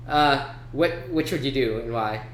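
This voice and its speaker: Man, bright voice, casual talk show co-host, hesitant delivery, echoey room. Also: mid-range voice, slow pace, slight background noise. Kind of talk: casual chat.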